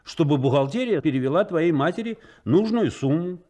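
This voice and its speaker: A fatherly, principled officer aboard a ship in a 1970s radio play. His voice sits a little high and moves with lively intonation. He speaks formally, with authority. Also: a little fast. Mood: firm, probing. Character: principled, fatherly ship's political officer, firm and kind. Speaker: radio drama actor.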